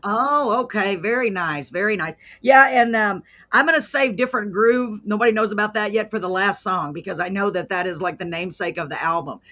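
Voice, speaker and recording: A woman's voice: mid-range voice, fairly quick, hardly any pauses, sharp tone, muffled recording. A woman with a Texan accent hosting a casual live interview, chatty and enthusiastic. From Texas. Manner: chatty, enthusiastic, reads viewer comments aloud. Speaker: host.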